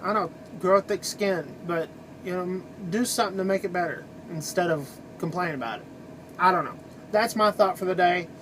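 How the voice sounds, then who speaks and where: A high-voiced man talks in a loose, folksy vlog style. He is informal and opinionated, rambling along conversationally. A faint steady hum runs behind his voice. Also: a little fast. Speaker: trucker video blogger. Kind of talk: casual rambling vlog monologue.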